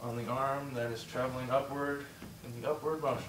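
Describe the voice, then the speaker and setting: A man teaching a movement drill step by step, encouraging and repeating himself, slightly hoarse and short of breath. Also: medium pitch, little pitch movement, a little fast, a bit bright, reverberant, recorded from a distance, a little hiss or hum, low-quality recording. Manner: encouraging, repeats "just like that" and "good". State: losing his voice, a bit out of breath. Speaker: instructor. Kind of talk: step-by-step demonstration lesson.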